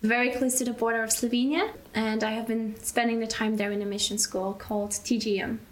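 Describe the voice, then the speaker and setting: A young woman from Belgium talks about her mission school training. Her voice sits a little on the high side. She speaks slowly and thoughtfully, pausing and restarting as she finds the right words. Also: level tone, slight background noise, rough recording. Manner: unhurried, searching for words, restarts. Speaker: interview guest, mission school student. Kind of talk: casual interview answers.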